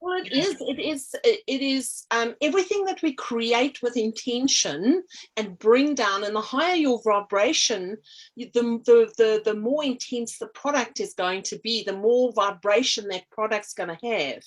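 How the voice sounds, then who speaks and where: A woman's voice: on the high side, normal speed. A middle-aged South African woman talking casually in an interview, meandering with many ums and restarts. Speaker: energy healer and artist, guest.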